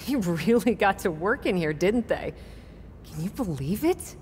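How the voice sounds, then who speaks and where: A woman's voice: medium pitch, big pitch swings, measured pace, darkish tone. Cocky playful lady wrestler, pun-loving, faint hiss in the back. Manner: playful and confident. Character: confident, punning female wrestling champion.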